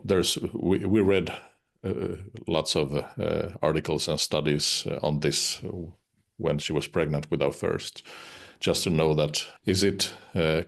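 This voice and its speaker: A man's voice: very deep voice, gravelly. A man whose first language is Finnish, speaking English in a casual interview, hesitant, with many uhs and restarts. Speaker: interviewed musician.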